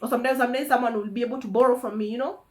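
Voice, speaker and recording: A woman in her thirties speaks to her viewers in a somewhat high voice. She is earnest and emotional, often stopping and repeating words as she shares her faith story. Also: fairly even intonation, very fast, darkish tone. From Tanzania. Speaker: vlogger. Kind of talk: personal faith testimony.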